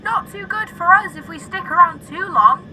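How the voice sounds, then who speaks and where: A woman's voice: very high voice, moderate pace, bright, forceful tone, heavily acted. A woman playing a matter-of-fact know-it-all in a radio pantomime. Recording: vehicle noise behind.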